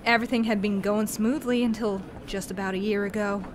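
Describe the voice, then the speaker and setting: A woman's voice: medium pitch, fairly even intonation, brisk, noisy background, close to an everyday voice. A woman playing a genial, long-winded, folksy male cowboy posse leader in a western audio drama. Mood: chatty. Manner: rambling, folksy, theatrical storytelling.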